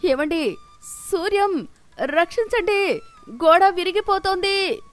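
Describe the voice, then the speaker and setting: Woman acting older mother-in-law, crying, panicked, high-pitched, pleading, animated story, music behind. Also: takes it slowly, heavily acted. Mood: panicked shouting.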